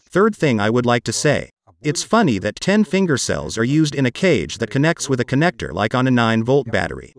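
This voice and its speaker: Middle-aged male reviewer, Russian accent, animated pitch movement, explanatory delivery to camera. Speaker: host of a radio review channel.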